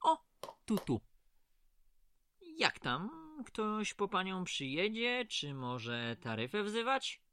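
A folksy, slightly patronising prison clerk in a radio play. His voice is sharp and forward, and he takes his time with words. The recording is close and dry. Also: high voice, sing-song, slow pace, long pauses, smooth, no room sound, exaggerated voice. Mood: chatty, familiar. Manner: familiar, patronising, colloquial. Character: chatty, folksy male prison clerk, mildly condescending. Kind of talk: audio drama acting.

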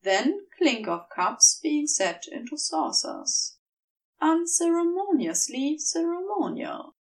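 A woman narrates fiction with a fairly high voice and very expressive, swinging intonation. She takes her time over the phrases in a gentle storytelling manner, recorded slightly away from the mic. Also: slow pace, recorded from a distance. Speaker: podfic narrator.

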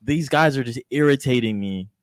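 A man's voice: medium pitch, normal speed, no room sound. A man co-hosting a talk show in casual, blunt, slangy banter.

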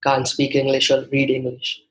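A man's voice: medium pitch, completely flat delivery, normal speed, fairly bright tone, rough recording. A young man from India, a native Hindi speaker, answering interview questions thoughtfully with many fillers and restarts. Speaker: guest. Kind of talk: conversational interview.

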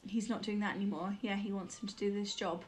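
A woman's voice: medium pitch, fairly even intonation, fast, darkish tone. Stuffy-nosed woman, chatty. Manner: chatty, laughs often, reads from notes. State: blocked-up nose from a cold. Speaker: podcast co-host. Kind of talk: casual film recap chat.

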